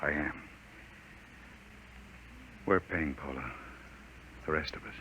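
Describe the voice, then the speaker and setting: A man's voice: extremely low pitch, slow, sharp tone, exaggerated voice. A man acting as an impassioned, desperate and bitter scientist in a 1950s science fiction radio drama. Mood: bleak, resigned. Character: impassioned, desperate human scientist from an empire, turning bitterly resigned. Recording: noisy vintage 1950s radio recording.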